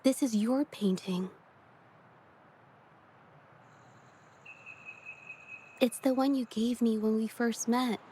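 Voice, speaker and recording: A woman playing an earnest, shy young woman painter in a video game, speaking in a gentle, hesitant way. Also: fairly high pitch, moderate pace, a little hiss or hum, close to an everyday voice. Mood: gentle, encouraging. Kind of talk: video game story dialogue acting.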